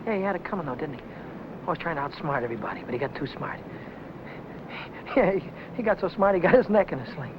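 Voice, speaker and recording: An actor playing a desperate, cornered young American man on the run in a 1950 film noir, speaking in halting slang. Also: on the high side, animated. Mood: shaken, brooding. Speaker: film actor in lead part. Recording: noisy, dull old film soundtrack.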